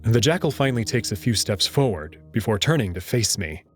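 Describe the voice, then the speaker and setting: Man narrating dramatically, expressive intonation. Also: on the low side, fairly quick, faint music behind. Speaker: narrator and reader. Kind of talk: dramatic first-person story narration.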